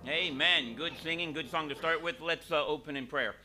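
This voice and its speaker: Middle-aged pastor guy at the lectern, bright forward voice, chatty with lots of uhs. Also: fairly high pitch, normal speed, faint music behind. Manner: relaxed and conversational, with frequent "uh" and restarts. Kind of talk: leading a church service, prayer and announcements. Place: small church hall, at a lectern. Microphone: lectern microphone.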